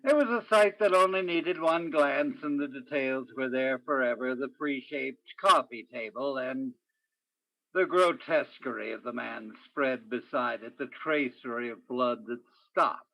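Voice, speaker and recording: A man performs a world-weary radio-play detective with a bright, forceful voice. He tells his case dramatically, in terse hardboiled lines that turn poetic and moody, like a 1950s noir broadcast. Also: medium pitch, a little slow, low-quality recording. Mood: grim.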